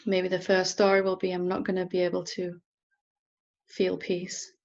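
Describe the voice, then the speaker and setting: A woman teacher leading a guided meditation in a gentle, unhurried manner with long pauses. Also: lowish voice, monotone, highs cut off. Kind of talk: guided meditation, softly instructing.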